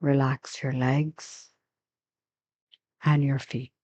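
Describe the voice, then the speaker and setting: Slow, mellow woman guiding meditation. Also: very deep voice, little pitch movement, muffled recording. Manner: gentle, unhurried instruction with long pauses. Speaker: yoga and meditation teacher. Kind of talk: guided meditation.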